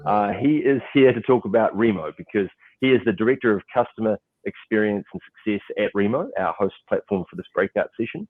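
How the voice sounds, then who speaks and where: A man's voice: fairly low pitch, fairly quick, no echo. New Zealand man with a thin, phone-like sound. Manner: chatty, lots of "uh" fillers. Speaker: moderator. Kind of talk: casual online panel hosting. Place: client's office in Seattle, crew setting up.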